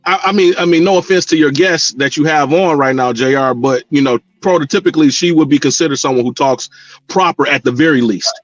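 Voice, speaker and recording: A man's voice: on the high side, a little fast, dry recording, lo-fi sound, soft background music. A middle-aged American man talking casually as a call-in guest, rambling and repeating himself with frequent filler phrases. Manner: rambling, repeats words, frequent "you know". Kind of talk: casual discussion of personal experience. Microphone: internet call connection. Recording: band-limited online call audio.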